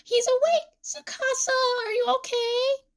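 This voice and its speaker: A woman voicing a bubbly, innocent, excitable young girl performer with a squeaky, gushing delivery in a story reading. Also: unhurried. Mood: alarmed.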